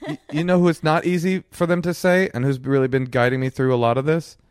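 A man hosting a casual comic chat, telling stories in an animated way with dry jokes. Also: fairly high pitch, quick pace, clear, resonant tone, no room sound. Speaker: podcast host.

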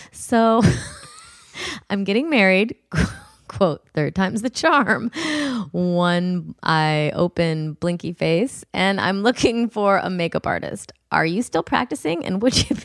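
A woman's voice: medium pitch, vocal fry, dry recording, studio quality. Woman reading as a chirpy penny-pinching bride. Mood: breezy, amused. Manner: reads emojis and spellings aloud, amused. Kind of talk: dramatic reading of text messages.

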